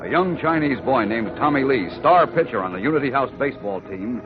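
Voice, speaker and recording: Casting: male serial narrator, mid-pitched, formal and dramatic, vintage muffled broadcast with clear organ music underneath. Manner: declamatory, formal. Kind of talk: dramatic 1940s scripted narration. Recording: muffled 1940s radio recording.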